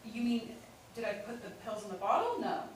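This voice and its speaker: Woman acting earnest nervous teen girl, higher voice, very roomy stage reading, polite and hesitant. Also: very animated, normal speed. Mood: earnest, defensive. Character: polite, earnest nineteen-year-old pharmacy helper, uneasy but honest. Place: indoor staged reading, mic far off. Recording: very echoey room, distant mic, faint noise.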